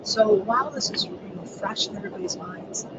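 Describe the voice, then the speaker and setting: A webinar presenter walking listeners through training materials, with a soft voice that does not carry, pausing often with ums. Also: medium pitch. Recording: loud background noise on a webinar mic.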